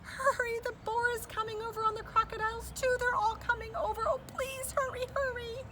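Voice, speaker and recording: A woman voicing an excitable, frantic male baby dragon in a storybook reading, yelling in an urgent, pleading way. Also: very high-pitched, brisk, pitched above the performer's own voice. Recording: distant mic with clear background noise.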